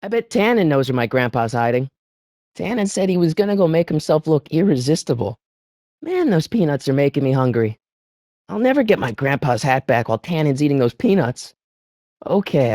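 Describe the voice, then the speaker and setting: An excitable, wisecracking eighties American teenage boy as a video game hero. His slangy, youthful delivery swings widely in pitch. The recording is close and dry, with no room sound. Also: no echo. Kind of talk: animated video game voice lines.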